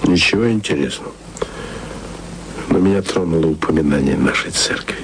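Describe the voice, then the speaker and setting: A man's voice: rumbling low, moderate pace, slight background noise. A man narrating a radio play as tender, musing letters spoken to a loved one. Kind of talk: intimate radio-play monologue.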